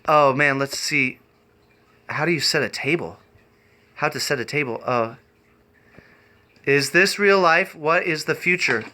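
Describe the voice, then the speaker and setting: A man chatting off the cuff on a livestream, rambling and halting in an eccentric way. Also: on the high side, sharp tone, slight background noise. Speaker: live streamer and variety performer. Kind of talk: improvised livestream chat.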